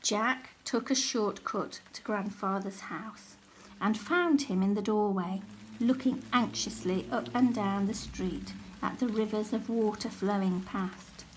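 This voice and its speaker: A woman narrating a children's story aloud with expressive, dramatic delivery. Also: mid-range voice, measured pace, a little hiss or hum, rough recording.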